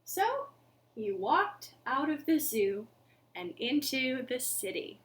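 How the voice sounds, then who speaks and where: A young woman hosting a children's storytime, speaking to little ones in an animated, deliberate storytelling manner. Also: high-pitched. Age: young adult. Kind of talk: children's storytelling and action songs. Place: living room at home.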